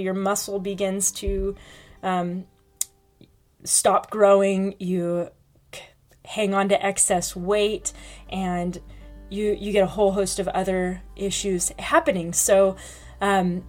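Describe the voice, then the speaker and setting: A woman hosting a health podcast, talking frankly in a chatty way with many fillers. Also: mid-range voice. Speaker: podcast host. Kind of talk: casual health podcast talk.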